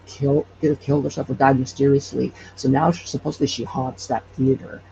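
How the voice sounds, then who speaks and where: Halting man with a gravelly, fry-edged voice. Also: mid-range voice, flat delivery, highs cut off, background noise. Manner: halting, hesitant with repeated false starts. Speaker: podcast creator and guest. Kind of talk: casual interview storytelling.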